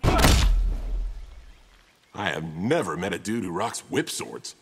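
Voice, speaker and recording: An actor playing a brash, foul-mouthed, casual vigilante in a fighting game. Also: male voice, deep voice, very animated, drawn-out words, husky, big-room sound, heavily acted. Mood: brash, cocky. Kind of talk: fighting-game character dialogue.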